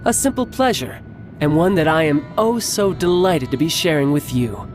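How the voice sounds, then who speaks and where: An actor playing a cocky young villain warrior in a video game, theatrical and playfully menacing, relishing battle with taunts. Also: male voice, on the high side, animated, moderate pace, soft background music, close to an everyday voice. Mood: gloating, calm. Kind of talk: English video game voice acting.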